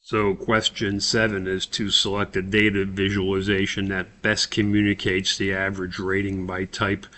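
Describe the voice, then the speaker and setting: Man, low-pitched, flat intonation, instructor, step-by-step software walkthrough, thinking aloud. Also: normal speed, few pauses, a little hiss or hum. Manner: explanatory, thinking aloud as they go. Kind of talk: spoken screen-recorded software tutorial.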